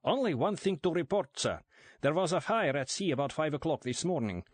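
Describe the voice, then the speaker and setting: Brief: a man doing a jittery Ukrainian-accented underling, raised pitch, deferential, storybook reading, dry sound. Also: lively intonation, brisk, no echo. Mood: dutiful report. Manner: eager, anxious, deferential. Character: nervous male Soviet underling reporting.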